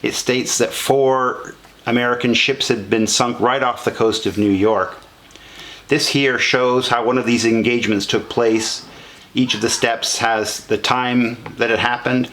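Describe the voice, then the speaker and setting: A man's voice: medium pitch, fairly even intonation, unhurried, a bit bright. A man reading scripted documentary narration as the narrator of a historical film. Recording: music under the voice. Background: music.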